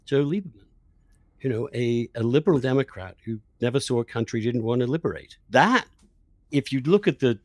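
A man's voice: mid-range voice, animated, fairly quick, no room sound. A man hosting a political podcast, debating in an emphatic, opinionated, argumentative way. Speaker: podcast host.

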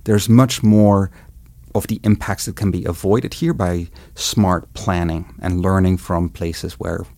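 Low gravelly Dutch-accented man. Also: steady tone, darkish tone, no echo. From Netherlands. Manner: measured, explanatory, with some hesitations. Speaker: guest road ecologist. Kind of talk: interview answers.

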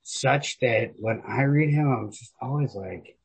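A man chatting casually as a podcast co-host, in an informal, profane, rambling and opinionated way, with a raspy, gravelly voice. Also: medium pitch, unhurried, rough recording. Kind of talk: casual literary chat.

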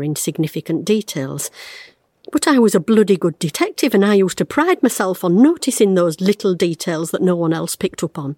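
A woman's voice: on the low side, a little mellow, breathy edge, no room sound. An actress, playing a wry, blunt retired woman detective in an audio drama, recorded close and dry. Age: 50s. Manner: wry, blunt, self-mocking, fraying under strain.

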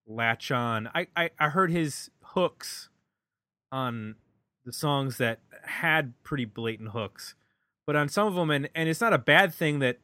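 Bright-voiced man hosting, close dry sound. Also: medium pitch, no room sound. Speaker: podcast host. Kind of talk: casual music review chat.

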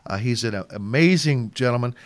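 A man's voice: mid-range voice, lively intonation, brisk, no room sound. A man hosting a podcast interview, chatty and joking, rambling with frequent restarts. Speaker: podcast host. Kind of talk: casual interview chat.

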